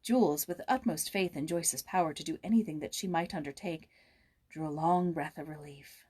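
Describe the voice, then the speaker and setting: A woman narrating a children's classic aloud as an expressive audiobook reader. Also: deep voice, normal speed, breathy edge.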